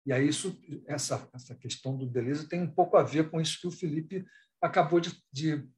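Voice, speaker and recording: A man with a Brazilian accent speaking spontaneously as an academic in an online panel, halting and discursive, often rephrasing and adding tag questions. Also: a little high, a little mellow, a little hiss or hum. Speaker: academic researcher and thesis supervisor.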